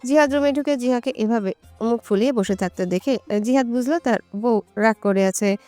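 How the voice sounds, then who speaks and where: A woman's voice: fairly high pitch, moderate pace, mellow, dry recording, faint music behind. A woman storyteller reading a scripted romantic story as narration.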